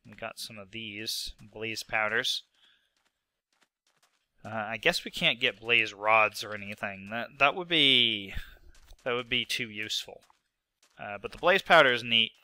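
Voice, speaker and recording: Young man with a head cold, rambling and self-mocking game commentary, bright smooth voice, dry close mic. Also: lowish voice, measured pace, pauses often, dry recording. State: sick with a stuffy nose. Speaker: gaming video host. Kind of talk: casual gameplay commentary.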